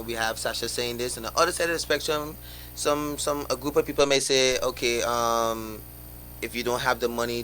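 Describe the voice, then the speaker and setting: A man's voice: mid-range voice, pushed and bright, slight background noise, poor audio. A young man, a guest on a live radio interview, talking passionately in rhetorical questions with many restarts.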